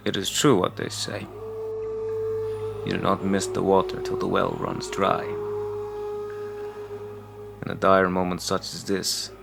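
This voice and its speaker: A man plays a weary priest brooding through a dark fantasy audio drama. His voice is low and full of gravel, and he talks slowly and somberly, with music behind him. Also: monotone, heavily acted. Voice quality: deep, gravelly. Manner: slow, somber, halting. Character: doubting male priest, weary, haunted, brooding.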